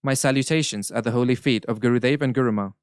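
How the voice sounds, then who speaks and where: Smooth-voiced man interpreting. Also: medium pitch, no room sound, studio quality. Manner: measured, earnest, occasional self-corrections. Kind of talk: live interpretation of a spiritual Q&A.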